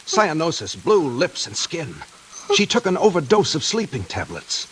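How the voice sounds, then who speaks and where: A man's voice: a little high, very animated, moderate pace, a bit bright, low-quality recording. A man playing an earnest, decisive doctor and protective sweetheart in 1940s radio acting, speaking with emphatic urgency. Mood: earnest, insistent. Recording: hissy 1940s radio recording, rain behind.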